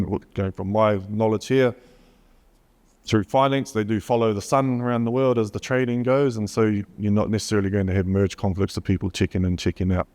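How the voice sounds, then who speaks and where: A man's voice: fairly low pitch, darkish tone. A man with a New Zealand accent giving a technical conference talk, hesitant with frequent fillers as he reads from slides. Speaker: conference presenter. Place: conference hall. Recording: long hall reverb.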